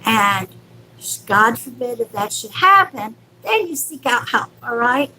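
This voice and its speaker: A woman's voice: a little high, sing-song, measured pace, bright, forceful tone, a little hiss or hum, rough recording. A woman hosting a live stream as a psychic medium, speaking casually and affectionately to viewers with reassurance and endearments.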